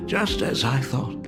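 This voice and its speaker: An actor voicing a doting, excitable middle-aged artist father in a video game, theatrical and effusive. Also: mid-range voice. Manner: theatrical, effusive, chuckles often. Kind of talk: animated video game voice acting.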